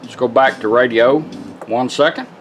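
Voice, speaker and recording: A man's voice: mid-range voice, normal speed, soft background music. A plainspoken, folksy man giving a casual, step-by-step hands-on product demonstration. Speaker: product demonstrator and car radio rebuilder.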